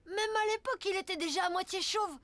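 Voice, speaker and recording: A woman's voice: very high voice, fast talker, a bit bright. A woman voicing a curious ten-year-old ninja pupil in a cartoon dub, frank and childlike.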